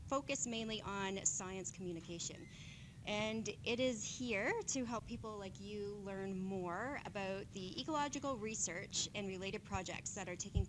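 Woman introducing a talk over rumble. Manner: reads announcements with fillers. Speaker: talk series host. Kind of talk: speaker introduction. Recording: noisy room with rumble.

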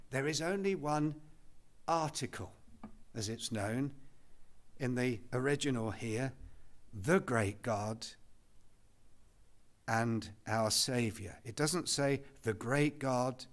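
An older pastor preaches from a lectern, speaking slowly and deliberately. His voice sits in a middle register. He is recorded on a lectern microphone in a church hall. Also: medium pitch. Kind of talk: expository Bible study sermon.

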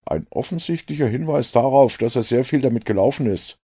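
A man's voice: mid-range voice, expressive, fairly quick, mellow, slightly rough, voice pushed up. A man voicing an eager, self-satisfied detective's companion in an audiobook, earnest and a little smug.

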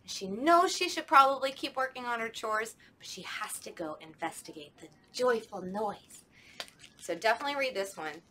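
A woman's voice: fairly high pitch, animated, normal speed, bright, forceful tone, a little hiss or hum. A young woman, a children's librarian, leading a storytime with songs, talking to kids at home in a sing-song way in a library children's room.

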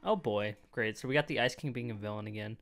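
A man's voice: fairly low pitch, quick pace, a bit bright, faint music behind. A young man reacting off the cuff in casual, slangy commentary, close on a desk microphone in a room at home. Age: young adult. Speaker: reaction video host. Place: small room indoors at home. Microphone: close desk microphone, headset worn.

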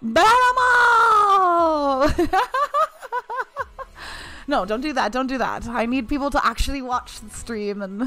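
A woman's voice: high voice, lively intonation, slow pace, sharp tone. A young woman streaming a mobile shooter, chatting casually with viewers and laughing often. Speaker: game streamer. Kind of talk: casual live-stream chat while playing.

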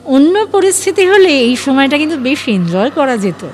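A woman's voice: high voice, big pitch swings, normal speed. A woman reading dramatic scripted narration for an audio story. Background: faint music.

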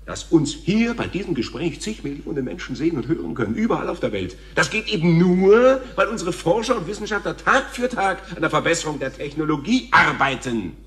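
An actor playing a stammering, self-important and patronizing television talk show host in a radio play. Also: male voice, on the high side, big pitch swings, fairly quick, hardly any pauses, fairly bright tone. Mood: condescending. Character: flustered, smug male TV talk show host. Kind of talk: German radio play acting.

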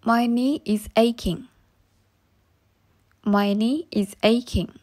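A woman with a fairly high voice reads practice sentences for English learners. She speaks very slowly and carefully and says every phrase twice so listeners can repeat it. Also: fairly even intonation, darkish tone. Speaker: English teacher.

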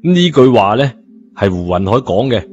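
A man with a Cantonese accent tells a story in a lowish voice. It is colloquial audiobook narration, slightly muffled, with music underneath. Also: sing-song, moderate pace, a little mellow.

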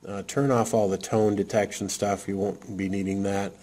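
A man casually walking through a how-to demo, talking in a halting way with many fillers. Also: on the low side, level tone, mellow. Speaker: radio hobbyist demonstrating programming. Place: indoor desk, off camera.

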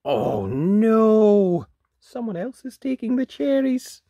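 Children's story host, female, husky voice, unhurried, hugely varied intonation with exaggerated reactions. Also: a little high, no room sound. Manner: expressive, exaggerated reactions, questioning young listeners. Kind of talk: children's read-aloud with commentary to young listeners.

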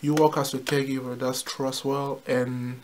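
A man's voice: medium pitch, flat delivery, moderate pace, background noise. A young man in his twenties co-hosting a casual couple challenge, making plain, matter-of-fact guesses in a small room. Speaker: co-host.

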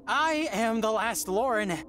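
An actor playing an earnest, bewildered young lord in a video game, incredulous and questioning. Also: male voice, sits high, expressive, unhurried, a bit bright, a little hiss or hum. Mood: puzzled.

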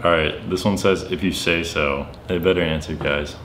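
A young man chatting casually and excitably to camera in slangy, playfully dramatic speech in a bedroom. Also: a bass voice, normal speed, a little hiss or hum. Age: young adult. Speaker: vlogger making prank calls. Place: bedroom, indoors.

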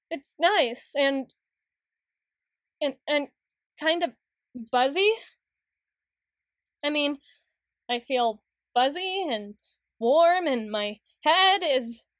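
A woman playing a proud, anxious, easily flustered tsundere young woman in an intimate ASMR roleplay, stammering and halting with wordy, formal phrasing. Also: high voice, no room sound, clean studio sound. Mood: nervous, flustered. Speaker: audio roleplay voice actor.

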